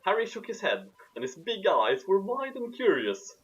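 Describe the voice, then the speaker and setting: A man's voice: high-pitched, wildly swooping pitch, moderate pace. A man narrating a story and breaking off for sarcastic commentary, on a lo-fi recording. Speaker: narrator and co-host. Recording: dull, lo-fi voice recording.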